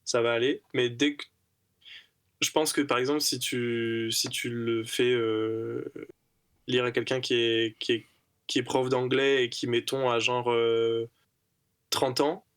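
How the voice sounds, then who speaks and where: A man's voice: mid-range voice, level tone, a little slow, a bit bright, clean tone. A man co-hosting a casual podcast discussion, thinking aloud and repeating words with many fillers. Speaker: podcast co-host.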